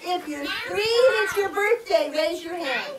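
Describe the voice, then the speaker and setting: A woman voicing an excitable, curious young dinosaur who haltingly questions children in a live puppet show. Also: very high-pitched, distant mic. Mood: playful. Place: outdoor children's birthday party. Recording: noisy outdoor party, loud children around.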